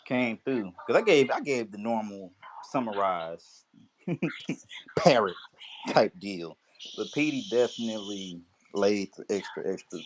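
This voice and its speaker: A man's voice: fairly low pitch, expressive, a little slow, noisy background. A man hosting a casual live audio chat, laid-back and joking, laughing often. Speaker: host.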